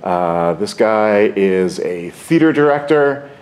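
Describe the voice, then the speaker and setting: A man's voice: lowish voice, expressive, takes it slowly, darkish tone. A man hosting an interview, asking upbeat, encouraging questions.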